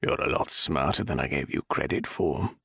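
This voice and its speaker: A man's voice: normal speed, gravelly, a bit bright, husky, dry recording, clean studio sound, heavily acted. A man doing a silky menacing viscount. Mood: silkily menacing. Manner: low, silky, threatening.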